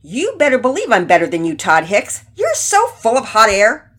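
A woman puts on a prickly young boy from a children's story. The voice is lifted and forceful, combative and thick with sarcasm. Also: fairly high pitch, wildly swooping pitch, normal speed, breathy edge, pitched above the performer's own voice, heavily acted. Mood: indignant yelling. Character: irritable, challenging boy, sarcastic rival.

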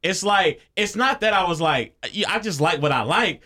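A young man with a high, light speaking voice co-hosts a loose podcast chat. He tells animated stories, laughs often and scatters fillers through his talk. He grew up around Chicago. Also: moderate pace. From Chicago area, United States. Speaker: podcast co-host.

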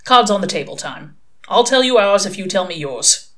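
A woman's voice: mid-range voice, lively intonation, moderate pace, natural voice. A woman voicing an irritable, worldly male demon in a storybook reading, blunt, flippant and sardonic. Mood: bargaining.

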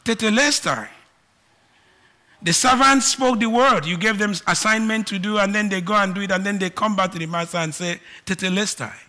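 A man from Nigeria with a Nigerian accent, preaching a sermon and moving between loud emphatic declarations and quiet asides. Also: high-pitched, moderate pace.

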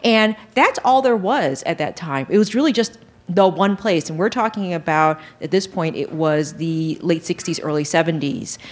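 Low-voiced middle-aged woman reminiscing. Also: lively intonation, moderate pace. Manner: reminiscing, assertive, talks over others. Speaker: co-host. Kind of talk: casual chat.

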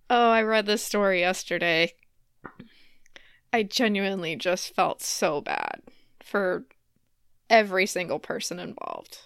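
A woman co-hosting a comedy podcast with casual, self-mocking banter and satirical news jokes, giggly and quick to laugh. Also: medium pitch, wildly swooping pitch, gravelly, fairly bright tone, clear, resonant tone, no room sound, studio quality. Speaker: podcast co-host.

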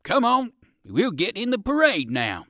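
A man's voice: fairly high pitch, sing-song, moderate pace, a bit bright, dry recording, studio quality. A man voicing a kind old circus dog soldier in a children's story, hearty, polite and emphatic. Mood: hearty, urging.

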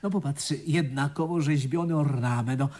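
A man acting a fidgety, scheming young cousin in a Polish radio drama, excitable and repeating himself. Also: a little high, normal speed, natural voice. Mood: wheedling. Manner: excitable, repetitive, jittery. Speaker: actor in a radio play.

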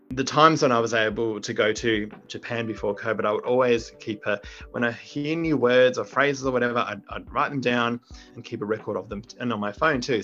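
A man's voice: medium pitch, fairly bright tone. Aussie teacher guy, earnest and thoughtful, keeps restarting, dull recording. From Australia. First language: English. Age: middle-aged. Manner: earnest, reflective, with frequent restarts. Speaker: Japanese teacher, deputy principal. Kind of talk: interview answers. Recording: muffled lo-fi audio with faint music.

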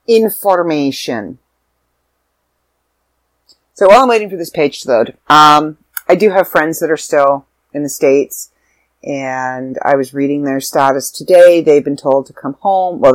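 A woman's voice: sits low, normal speed, no echo, rough recording. A young woman chats to her camera at home in a loose, rambling way, often stopping mid-thought and restarting. She sounds tired and stuffed up, with a touch of a sore throat. The sound is close and dry, with hardly any room around her. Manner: rambling, self-interrupting. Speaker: vlogger. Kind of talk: casual chat to camera.

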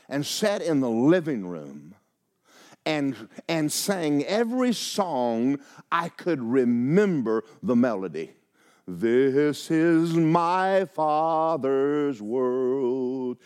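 Older pastor, folksy storyteller, lively swooping delivery with voiced-out quotes. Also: male voice, on the high side, smooth. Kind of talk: sermon with personal stories.

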